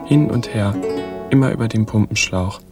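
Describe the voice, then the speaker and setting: A man's voice: on the low side, normal speed, loud music behind the voice, lightly acted. A man narrating a radio drama from a script in a matter-of-fact manner.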